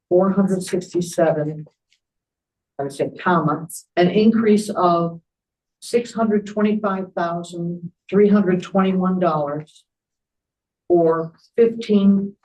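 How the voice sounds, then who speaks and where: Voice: medium pitch, rough recording. A board member with a carrying voice, speaking directly in plain procedural meeting talk and spelling out figures. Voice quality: loud, carrying voice.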